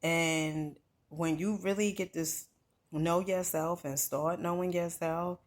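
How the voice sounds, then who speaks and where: A woman's voice: fairly low pitch, steady tone, slow pace. A middle-aged woman from New Jersey giving an earnest motivational talk, conversational and full of "you know" and "right?".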